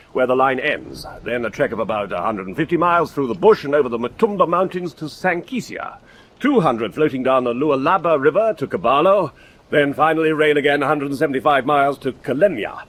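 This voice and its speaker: A brisk, self-assured hunter in a radio play. His delivery is formal and direct, with an animated, slightly raised voice. There is faint noise behind him. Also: on the high side. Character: confident, brisk big game hunter with a plan. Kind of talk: radio drama acting.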